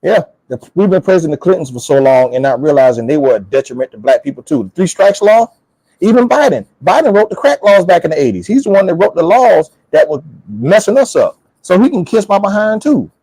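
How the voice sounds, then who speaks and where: Emphatic, higher-voiced man talking politics. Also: a little fast, breathy edge, no room sound, poor audio. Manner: animated, emphatic, repeats phrases; blunt, colloquial. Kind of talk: opinionated casual political chat.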